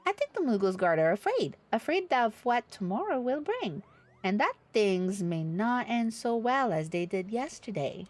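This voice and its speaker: A woman voicing a grave, thoughtful counsellor in a fantasy game, speaking in a measured, formal and solemn way. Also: fairly high pitch, very animated, a little mellow. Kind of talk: reading fantasy game dialogue aloud.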